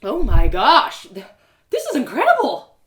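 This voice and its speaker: A woman's voice: high voice, huge pitch swings, a little slow, fairly bright tone, pitched above the performer's own voice, exaggerated voice. A woman voicing an eager, sheltered young girl in a storybook, hesitant, apologetic and rambling. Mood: amazed.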